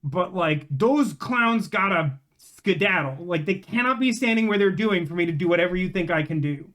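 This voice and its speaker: A man's voice: high-pitched, expressive, moderate pace, fairly bright tone. A man chatting casually on a live game stream, rambling and emphatic, with slangy phrasing.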